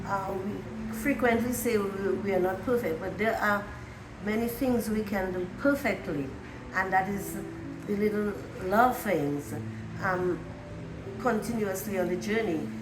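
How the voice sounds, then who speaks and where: A woman's voice: medium pitch, unhurried. A woman answering interview questions in a hesitant way, often repeating words. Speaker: interview guest, marriage encounter presenter. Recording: echoey room with clear background noise.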